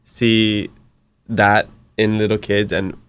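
A young man from Hawaii whose first language is Hawaiian, hosting a podcast in a relaxed, joking way in a small radio studio at a broadcast mic. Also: fairly low pitch, takes it slowly, smooth. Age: young adult. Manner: relaxed, joking, quiet asides. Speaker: podcast host. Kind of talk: casual interview chat. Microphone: studio broadcast mic on boom arm.

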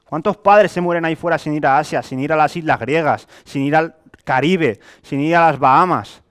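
A man in his late twenties with an accent from Spain, giving a colloquial, animated sales presentation to a live audience. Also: on the high side, moderate pace, clear, resonant tone. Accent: Spain Spanish. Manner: animated, colloquial, rhetorical questions and acted-out examples. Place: hotel meeting room with a small audience, indoors.

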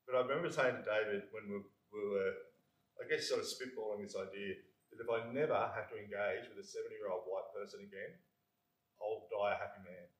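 A middle-aged man with an Australian accent gives a blunt, candid, self-deprecating talk to a seminar audience, speaking with a loud voice. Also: lowish voice, fairly even intonation, fairly quick. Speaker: community recovery worker. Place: university seminar room. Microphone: far room camera. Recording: echoey room from far off.

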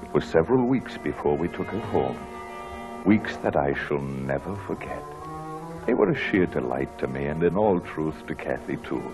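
Proud well-bred gentleman, very deep, lively intonation, vintage radio play with music behind. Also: moderate pace. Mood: reflective. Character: well-bred, haughty young gentleman, courteous to ladies. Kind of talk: 1940s radio acting. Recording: dull old radio broadcast recording.

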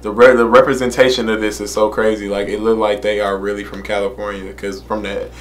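A man's voice: on the low side, flat delivery, brisk, a little hiss or hum. A young man, reacting casually to a music video from a small room and talking into a desk camera. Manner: laid-back, laughs often, repeats "man" and "you know what I'm saying". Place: small room indoors, plain wall behind.

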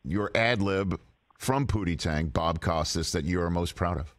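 A man's voice: low voice, moderate pace, dry recording. An American man in his fifties hosting a casual talk show interview in a jovial, amused way at a close studio mic. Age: 50s. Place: broadcast studio. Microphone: close studio microphone on the desk.

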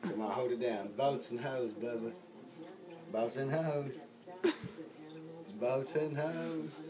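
A drunk young man joking and rambling rowdily while filming a late-night party in a small home bar room, swinging between shouts and mumbles. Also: medium pitch, fairly even intonation, slow pace, a crowd in the background. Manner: rowdy, slurring, joking, often shouting or mumbling.

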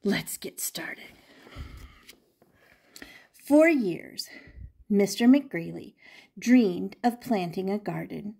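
An older woman reading a picture book aloud to young children as a grandmother would, expressive and emphatic, repeating phrases and asking questions. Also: mid-range voice, extremely slow, creaky, pauses often, husky.

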